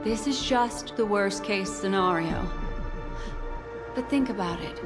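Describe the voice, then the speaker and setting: An actress playing a composed, cryptic and shrewd woman guide in a video game, speaking in a measured, deliberate way. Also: mid-range voice, loud music behind the voice, lightly acted. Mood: grave, musing. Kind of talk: scripted video game dialogue.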